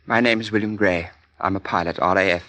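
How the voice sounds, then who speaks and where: An actor playing a polite, stranded RAF pilot in a 1940s radio drama, with a British accent and a clipped, understated manner. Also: male voice, low-pitched, steady tone, quick pace, sharp tone, no echo. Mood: calm, understated.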